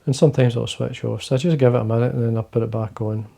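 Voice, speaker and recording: An older Scottish man with a low, quiet voice, gravelly and mellow in tone. He chats casually to the camera from his desk, wandering through his points with plenty of fillers. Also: steady tone, fast. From Scotland. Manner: quiet, rambling, many fillers and restarts. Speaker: fly-fishing video host. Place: indoors, fly-tying desk.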